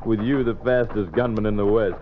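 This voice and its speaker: Sneering frontier henchman played by a man, low folksy drawl, dull, hissy old radio recording. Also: steady tone, fairly quick, natural voice. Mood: sly, sneering. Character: sly male outlaw henchman, obedient and sneering. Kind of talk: old-time radio Western acting.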